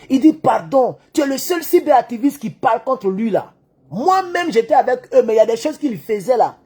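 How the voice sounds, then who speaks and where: A man giving an animated political monologue, acting out the dialogue in his stories. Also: extremely high pitch, slightly rough. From Côte d'Ivoire. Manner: excitable storyteller who acts out dialogue. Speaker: online political commentator.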